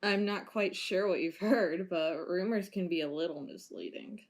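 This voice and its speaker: A woman's voice: on the low side, normal speed, lightly acted. A woman playing a former police officer, Riley, weighed down by guilt, with a wry, sarcastic way of talking. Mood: dry. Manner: wry, sarcastic, turning impassioned. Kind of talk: amateur audio drama acting.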